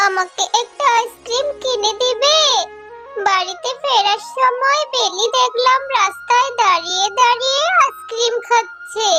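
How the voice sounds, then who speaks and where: A woman voicing a young animal girl in a children's cartoon, innocent and pleading in a childlike way. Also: very high-pitched. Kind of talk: Bangla children's cartoon voice acting.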